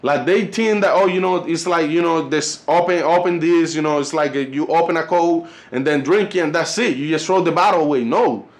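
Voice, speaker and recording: Young Colombian man with a higher voice. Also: brisk, hardly any pauses. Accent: Colombian Spanish. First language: Spanish. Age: 20s. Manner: rambling, filler-heavy, says "you know" and "fellas" a lot. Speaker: YouTube channel host. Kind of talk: casual vlog commentary.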